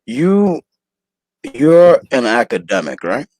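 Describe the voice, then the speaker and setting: A man's voice: medium pitch, sing-song, slow pace, a little mellow, no room sound, rough recording. A man hosting a livestream debate, hesitant and repeating words as he builds his point. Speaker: livestream host. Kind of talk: casual debate chat.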